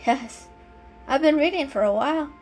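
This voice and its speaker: A woman voicing a friendly, bookish female house cat in a storybook reading, with an eager, warm and inquisitive manner. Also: high-pitched, moderate pace, faint music behind, pitched above the performer's own voice, natural voice.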